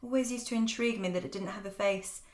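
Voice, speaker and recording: A young woman playing a jaded, sharp-tongued woman in an audio drama, blunt and sardonic in manner. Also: medium pitch, fast talker, lightly acted. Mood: wistful, dry. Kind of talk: naturalistic audio drama acting.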